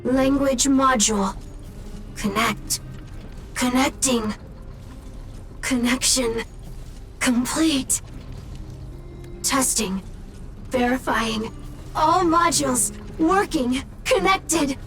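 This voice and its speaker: A small, loyal robot, high-pitched and slow. The words come in clipped, mechanical fragments, patient and terse, as in a video game, with faint music underneath. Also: female voice, a bit bright, heavily acted. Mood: methodical. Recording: music behind the voice.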